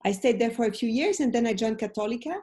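Mellow-voiced French woman in her forties. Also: on the high side, quick pace. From France. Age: late 40s. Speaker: business professor, interviewee. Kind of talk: conversational interview answers. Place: indoor room at home. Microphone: video call, computer microphone.

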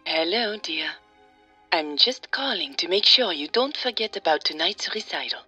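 A woman voicing a bright, husky, insistent mother. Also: lowish voice, expressive, moderate pace, dry recording, soft background music, heavily acted. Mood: polite, brisk. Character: composed, insistent older mother of an adult woman. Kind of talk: audio drama dialogue.

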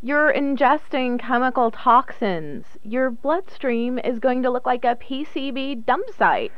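Higher-voiced, chiding housemate. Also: female voice, a little slow, no room sound, dull audio. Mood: chiding, concerned. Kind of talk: radio drama dialogue.

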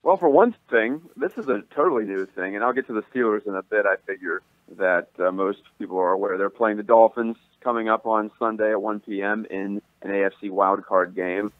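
A man's voice: lowish voice, brisk, no room sound. A man calling in as a sports reporter, talking steadily and in detail. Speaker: sports reporter, phone guest. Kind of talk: sports commentary over the phone. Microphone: phone. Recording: tinny phone line.

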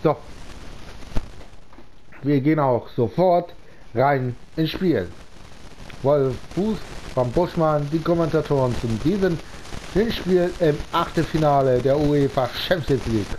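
Slow-talking man with a cold. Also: a little high, a little mellow, slight background noise, rough recording. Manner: slow, halting talk with many pauses and fillers. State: slightly sick with a cold. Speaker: gaming channel host. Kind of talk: casual gameplay commentary.

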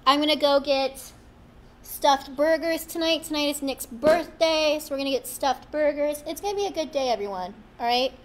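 A young woman hosting a live craft demo in a small studio, chatty and playful, with a high, girlish voice. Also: moderate pace. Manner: chatty, playful, self-teasing. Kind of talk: live-streamed product demo. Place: small studio with branded backdrop, indoors.

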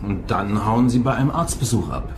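A man's voice: on the low side, animated, fairly quick, lightly acted. A man as a terse investigator, noisy background. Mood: confronting. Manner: terse, confronting. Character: probing male police investigator, dry and persistent. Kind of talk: radio play acting.

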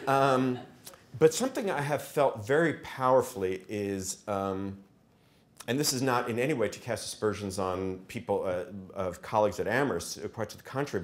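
A man telling anecdotes informally to an audience in a halting, wry, self-deprecating way. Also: mid-range voice, fairly bright tone. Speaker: author and professor speaking at a reading. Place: wood-panelled auditorium stage. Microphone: podium microphone and lapel mic.

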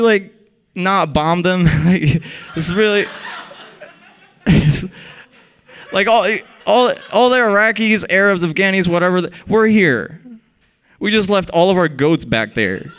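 A man's voice: high-pitched, animated, slow, long pauses, fairly bright tone. A young man from Iraq performing a wry stand-up set on a small club stage, holding a handheld mic. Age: young adult. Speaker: stand-up comedian.

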